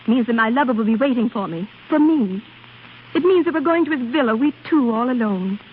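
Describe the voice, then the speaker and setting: A woman's voice: fairly high pitch, lively intonation, a little fast, natural voice. A woman playing a temperamental, bored opera singer who longs for romance, in theatrical 1930s radio style. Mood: yearning, dramatic. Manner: theatrical, petulant, impulsive. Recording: scratchy, narrow-band 1930s radio recording.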